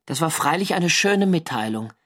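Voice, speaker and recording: A measured wartime sailor diarist. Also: male voice, a little high, lively intonation, fairly bright tone. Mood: wry, reflective. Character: young navy sailor narrating his wartime diary, earnest and wry. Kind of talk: scripted first-person radio drama narration.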